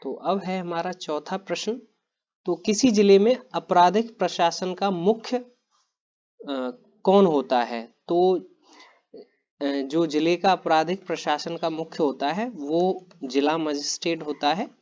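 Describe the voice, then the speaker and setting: A man teaching exam prep, reading quiz questions and answers aloud in a steady, plain way, repeating each answer and often clearing his throat. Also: on the high side, slow pace, muffled recording.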